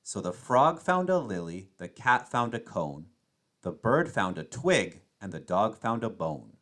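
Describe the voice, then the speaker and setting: A man reads a rhyming children's story aloud with theatrical expression. His delivery is slow and measured, swooping in pitch, with a bright, clear edge. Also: sing-song. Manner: dramatic, measured rhythm. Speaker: storybook narrator.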